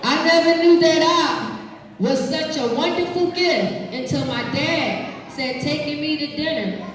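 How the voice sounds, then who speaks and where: A woman, an energetic children's author reading aloud live to a school audience, projecting and engaging listeners with questions. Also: high-pitched, measured pace, a little hiss or hum. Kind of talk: live read-aloud, call-and-response with children. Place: large echoey room at an elementary school. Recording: very echoey hall, distant mic.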